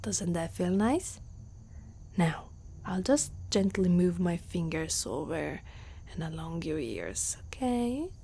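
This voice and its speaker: A woman playing a gentle, protective centaur girl in an ASMR roleplay, speaking softly and closely to one listener, often near a whisper. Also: lowish voice, very animated, slow pace, creaky, slight background noise, heavily acted. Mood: tender. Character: gentle, protective, curious young female centaur.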